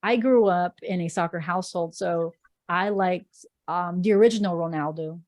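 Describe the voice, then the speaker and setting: A woman in her thirties, a native Portuguese speaker, answers questions in a loose, chatty flow with plenty of ums. Her voice sits low for a woman, and the sound is very dry and close. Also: moderate pace, a little mellow, no echo. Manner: chatty and rambling, frequent ums. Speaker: sports reporter guest. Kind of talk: casual podcast interview.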